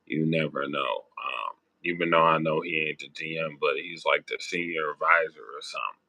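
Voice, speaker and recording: A young man with a sick, hoarse, raspy voice, rambling through casual sports talk with lots of ums and slang. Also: moderate pace, bright, forceful tone, no echo. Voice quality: deep raspy voice. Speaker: sports podcast host.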